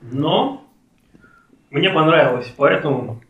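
A man in his late twenties in casual podcast conversation, speaking in a halting, hesitant way with many pauses. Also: on the high side, expressive, fairly bright tone, distant mic, muffled recording. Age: late 20s, turning thirty. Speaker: podcast participant. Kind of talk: casual conversation about personal life. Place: friend's home, indoors. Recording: echoey room, off-mic, faint noise.

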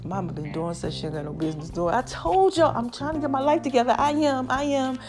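Lively New woman, high swooping voice, a little raspy, preachy chat to her viewers. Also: fast, music in the background. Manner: animated, calls herself Mama, addresses viewers as y'all. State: slightly raspy voice. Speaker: online commentator. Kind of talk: casual preachy chat to followers.

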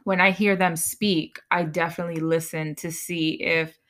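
Chatty Puerto Rican woman podcaster, lowish voice. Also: steady tone, moderate pace, a bit bright. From Puerto Rico. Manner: chatty, laughs often, mixes Spanish into English. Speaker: podcast co-host. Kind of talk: casual bilingual chat.

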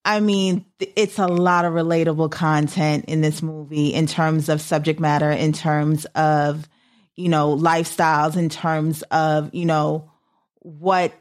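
A woman co-hosting a casual interview, building her points in short, halting conversational phrases. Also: low-pitched. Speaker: podcast co-host.

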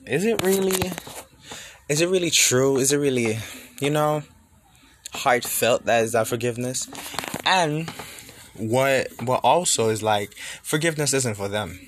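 A man's voice: medium pitch, a bit bright, a little hiss or hum. A young man from Jamaica talking casually and thinking aloud, conversational, with emphatic rhetorical questions. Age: young adult. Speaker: student sharing personal reflections.

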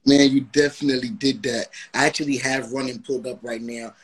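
A man from Brooklyn hosting a live music interview show, energetic and hyping up his audience. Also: mid-range voice, a little fast. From Brooklyn, New York. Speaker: livestream interview host.

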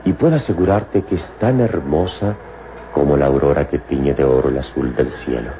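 An extremely deep male voice plays a noble adventure hero of a radio serial. He is calm and commanding, with formal, poetic delivery. The old recording is muffled and noisy. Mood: warm, amused. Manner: formal, measured, poetic phrasing.

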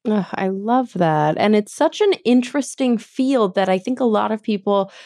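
A woman's voice: mid-range voice, animated, normal speed, darkish tone, dry recording, studio quality. A woman hosting a podcast, asking questions in an enthusiastic, chatty way. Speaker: podcast host. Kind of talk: interview conversation.

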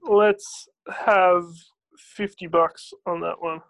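A man's voice: sits high, steady tone, takes it slowly, creaky, a bit bright. A man in casual podcast banter, easygoing and polite, a bit pedantic.

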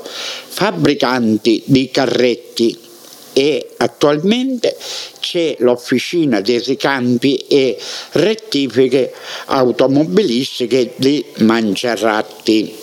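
A man from Sicily talks slowly through a walk down old town streets, taking his time with each landmark. His voice sits at an ordinary pitch, and his manner is measured and reminiscing. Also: darkish tone. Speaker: local history narrator. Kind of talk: descriptive narration of a town walk.

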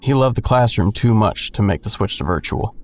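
A man playing a grown son who narrates an audio drama in a sardonic, colloquial, deadpan way. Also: on the low side, fairly quick, lightly acted. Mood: wry, reflective. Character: wry adult son narrating his own hard-boiled story. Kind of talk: first-person audio drama narration with dialogue.

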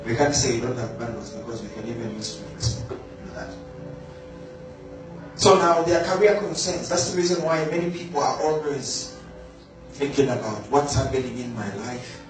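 Guy preaching a sermon from far off in a really echoey room, faint music behind, slow and emphatic. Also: mid-range voice. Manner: slow, emphatic, repeats key words.

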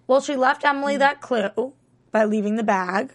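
A woman co-hosts a casual TV recap with a fairly high voice. She talks chattily, keeps asking the others questions, and laughs easily. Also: moderate pace. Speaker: co-host.

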